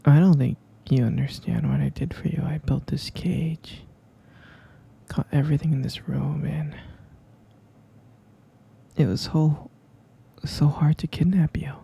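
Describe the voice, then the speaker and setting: A man playing an obsessive, tender but menacing kidnapper boyfriend in an ASMR roleplay, cooing and coaxing in a breathy, husky whisper. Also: a little high, steady tone, warm tone, full character voice. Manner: cooing, coaxing, slow and intimate.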